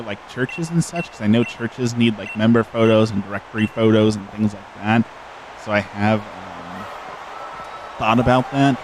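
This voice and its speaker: A man's voice: lowish voice, background noise. A middle-aged man streaming a game, chatting casually and earnestly with viewers into a desk microphone, with many fillers and restarts. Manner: outgoing and extroverted. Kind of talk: casual live-stream chat answering viewers while gaming.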